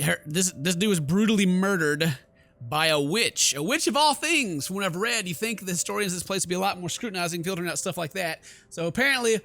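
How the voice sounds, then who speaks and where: Guy gamer commentary, bright highish voice, jokey, reads the text out loud, bit of music behind. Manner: jokey, exclamatory, mutters asides. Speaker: gaming video host. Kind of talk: casual game commentary mixed with reading text aloud.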